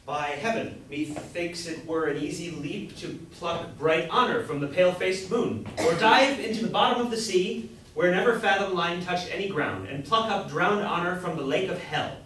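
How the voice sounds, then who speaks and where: A man playing a bombastic apprentice showing off a heroic speech, declaiming loudly in an amateur staged reading. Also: high-pitched, normal speed. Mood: grandiose. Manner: loud, grandiose declaiming. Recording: distant echoey room, faint noise.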